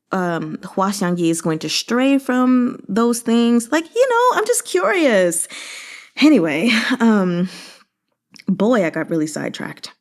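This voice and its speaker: A woman hosting a read-along, giving casual, conversational commentary on a novel with animated, emphatic asides. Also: fairly low pitch. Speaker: host of a book read-along channel.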